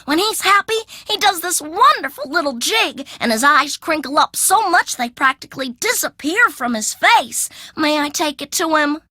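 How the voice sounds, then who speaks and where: A woman's voice: high voice, animated, moderate pace, few pauses, bright, forceful tone, no echo, studio quality. A woman voicing a sugary, eager-to-please ten-year-old farm girl in a video game, gushing and pleading. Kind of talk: video game character dialogue.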